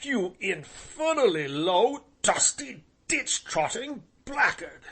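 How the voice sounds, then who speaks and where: A man voicing a hot-tempered young gentleman who blusters and curses in a high, strained voice. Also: sing-song, slow pace, slightly rough, exaggerated voice. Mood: furious. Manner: blustering, cursing.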